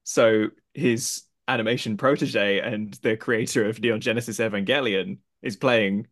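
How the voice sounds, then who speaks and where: Male podcast guest, low pitch, relaxed chatty critic. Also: unhurried. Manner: chatty storyteller, many "like" fillers. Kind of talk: casual podcast film discussion.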